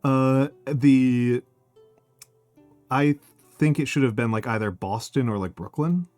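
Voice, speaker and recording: A man's voice: mid-range voice, a little mellow, dry recording. A man from the United States chats on his livestream and keeps circling back through restarts and fillers. His voice sits in a middling register. It sounds dry and close, with faint music underneath. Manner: halting, many fillers and restarts. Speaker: streamer. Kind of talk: casual chat.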